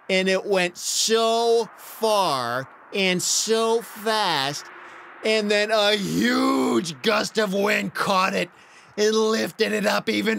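A woman narrating a story for children in an expressive, animated storytelling style. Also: mid-range voice, gravelly, slight background noise. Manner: expressive, acts out excited exclamations.

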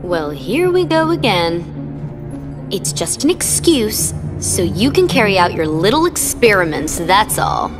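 A woman's voice: mid-range voice, big pitch swings, takes it slowly, big-room sound, music in the background. An actress voicing a spiteful young female villain who mocks and taunts. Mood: irritated. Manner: taunting, mocking, sarcastic.

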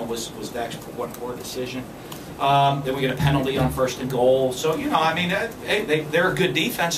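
A man in his forties, a football coach answering postgame questions at a press conference, speaking in a measured way with many fillers and restarts. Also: mid-range voice. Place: press room with backdrop. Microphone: podium mic, filmed from a distance. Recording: echoey room, far from the camera, background noise.

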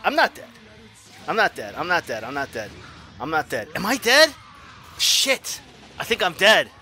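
A man in his forties commenting on his own online fighting game matches, swinging from low mutters to loud yells, laughing and cursing at himself and his opponent. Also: wildly swooping pitch, moderate pace, soft background music. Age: 40s. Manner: profane, self-mocking trash talk. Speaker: gaming YouTuber. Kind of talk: live gameplay commentary.

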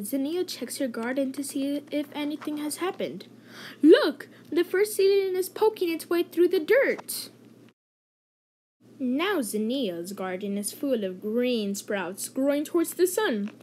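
A woman reading a children's story aloud in an expressive, clearly enunciated storytelling manner. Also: on the high side, a little hiss or hum. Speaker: host reading children's picture book aloud.

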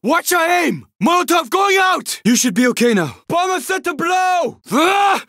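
High, forceful young zombie-game survivor. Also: male voice, sing-song, unhurried, no room sound, clean studio sound, exaggerated voice. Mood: urgent, alarmed. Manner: terse, urgent, forceful callouts. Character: urgent young male survivor fighting zombie hordes. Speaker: video game voice actor. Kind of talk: video game combat barks.

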